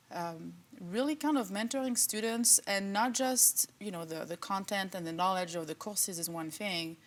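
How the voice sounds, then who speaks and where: Lady prof, kinda low voice, thoughtful and easygoing, uh and um a lot. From France. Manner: relaxed, thoughtful, frequent fillers. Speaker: agriculture professor and department chair. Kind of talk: interview answers.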